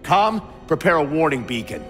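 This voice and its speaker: An actor playing a stubborn, imperious warship captain in a video game, speaking in a clipped, commanding, scornful way. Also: male voice, a little high, big pitch swings, normal speed, a bit bright, big-room sound, faint music behind. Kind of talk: video game cutscene acting.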